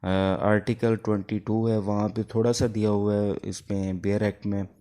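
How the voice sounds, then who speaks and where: Male law lecturer, Pakistani accent, lowish and very mellow, explanatory, halting delivery. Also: flat delivery. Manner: explanatory, halting with pauses between phrases. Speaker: law teacher. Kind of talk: informal lecture explaining exam material.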